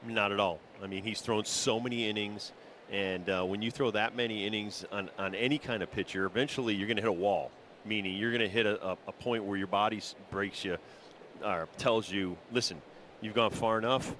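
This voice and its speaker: A man's voice: fairly low pitch, steady tone, a little fast, a bit bright, slight background noise. A man giving live baseball analysis as a color commentator, explaining in a conversational way.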